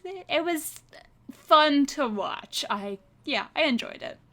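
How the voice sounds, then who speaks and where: A woman's voice: high voice, expressive, takes it slowly, bright, forceful tone. A woman chatting casually as a panelist on a podcast. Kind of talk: casual chat.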